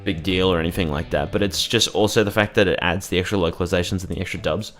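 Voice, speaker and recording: Deep-voiced man rambling over gameplay. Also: steady tone, quick pace, fairly bright tone, soft background music. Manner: rambling, with many fillers and restarts. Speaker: gaming streamer. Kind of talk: casual live-stream commentary over gameplay.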